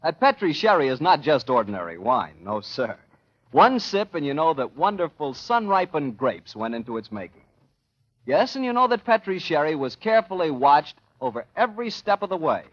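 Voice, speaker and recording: A man working as a 1940s radio announcer, delivering a folksy, emphatic sponsor pitch and scripted chat as host. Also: sing-song, moderate pace, no echo. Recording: muffled vintage radio recording.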